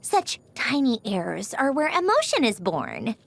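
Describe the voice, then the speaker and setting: A woman's voice: a little high, wildly swooping pitch, gravelly, heavily acted. Refined, haughty young lady, lilting voice. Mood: wistful, earnest. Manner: formal, measured, eloquent diction. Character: composed, refined young woman, precise, reflective, gently haughty. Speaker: voiced game character. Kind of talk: scripted character voice lines.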